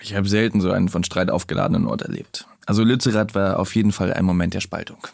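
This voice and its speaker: A man answering as an interview guest, conversational, with a curt, cynical manner and a gravelly voice. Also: low-pitched, fairly even intonation, fast talker, a little mellow, no room sound.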